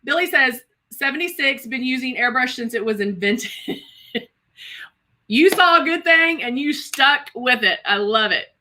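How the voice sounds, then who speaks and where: Bright, higher-pitched woman around fifty, chatty. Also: animated, measured pace, slight background noise. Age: about 50. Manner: chatty and exclamatory, addressing viewers as girl and guys. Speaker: host of a live makeup tutorial stream. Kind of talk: casual livestream chat while demonstrating makeup and reading viewer comments.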